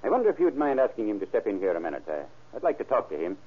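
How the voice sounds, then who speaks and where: An actor playing a brisk, probing male sheriff in a businesslike old-time radio crime drama, clipped in his questioning. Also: lowish voice, very animated, husky. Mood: suspicious, probing. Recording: muffled, band-limited vintage radio recording.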